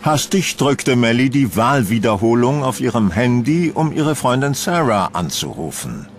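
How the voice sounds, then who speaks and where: A man's voice: mid-range voice, sing-song, unhurried, a little hiss or hum. A man giving scripted narration of a horror story.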